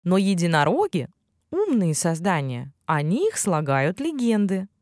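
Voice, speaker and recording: A woman narrating a children's fairy tale in an expressive storytelling style. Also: on the low side, a little slow, no room sound, studio quality.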